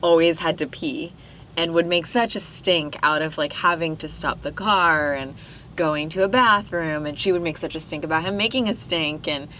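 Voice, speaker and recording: A young woman with a slightly low voice talks through her memories in a relaxed, reflective way, full of fillers and quick laughs. She is a podcast guest chatting casually, and a faint noise sits under her voice. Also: poor audio. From Pakistan. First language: Urdu. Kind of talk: casual interview chat.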